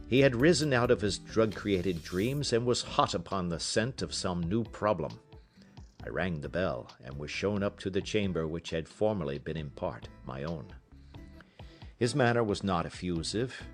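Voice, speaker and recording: A man narrating an audiobook in an expressive literary style, with measured, careful phrasing. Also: low voice.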